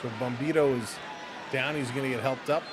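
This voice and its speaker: A man's voice: mid-range voice, steady tone, a little fast, noisy background. A man hosting a live stream with casual commentary on a football match. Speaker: host of live watch-along stream.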